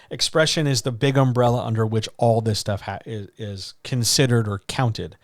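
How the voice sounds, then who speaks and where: Deep-voiced guy explaining writing stuff, lots of ums and likes, dry close mic. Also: dry recording. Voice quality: deeper voice. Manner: loose, conversational, full of fillers like so, like and um. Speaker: writing coach. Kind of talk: live-streamed Q&A lesson.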